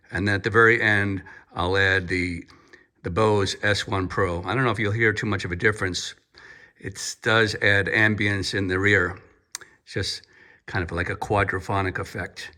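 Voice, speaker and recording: A man's voice: on the low side, sharp tone. A man giving a casual, explanatory audio gear demonstration, speaking in short, piecemeal phrases as a reviewer. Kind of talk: casual explanatory product demo.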